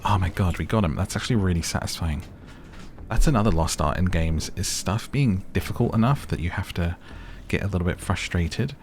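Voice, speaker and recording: A soft-spoken man giving gentle, chatty, relaxed ASMR commentary over a game, as the host of the video. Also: low-pitched, a little fast, a little hiss or hum. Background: game music.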